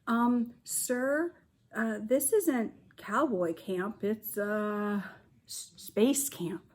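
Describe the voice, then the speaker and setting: A woman's voice: on the high side, extremely slow, darkish tone. A woman voicing an anxious, self-doubting young boy at cowboy camp in a storybook, hesitant and questioning. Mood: nervous.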